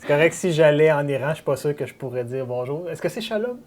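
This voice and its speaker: A man with a Quebec French accent hosting a cooking show in casual chat, jovial and quick to laugh. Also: a little high, animated, darkish tone.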